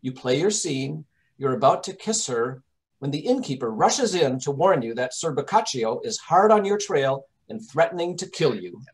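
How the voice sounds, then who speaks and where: A man playing a brisk, impatient gentleman detective giving orders in old-time radio drama style. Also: fairly high pitch, lively intonation, poor audio. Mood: brisk, commanding. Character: brisk, commanding, impatient English gentleman detective directing rehearsal. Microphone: video call.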